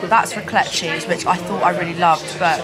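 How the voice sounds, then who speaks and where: Young woman chatting over loud restaurant noise. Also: lowish voice, steady tone, moderate pace. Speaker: friend. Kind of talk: casual chat. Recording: loud music and crowd behind.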